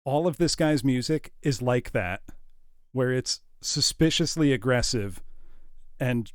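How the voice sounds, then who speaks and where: A man's voice: mid-range voice, lively intonation, unhurried, smooth, no room sound, clean studio sound. A man hosting a livestream, chatting informally and enthusiastically while musing aloud. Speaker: livestream host. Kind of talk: casual livestream chat.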